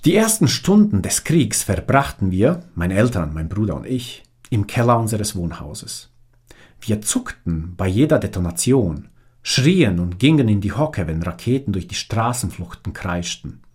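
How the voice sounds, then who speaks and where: A man's voice: lowish voice, big pitch swings, a little slow, a little mellow, breathy edge. A man in his forties from Bosnia with a strong Bosnian accent, reading his own memoir as an audiobook in a direct, plain way.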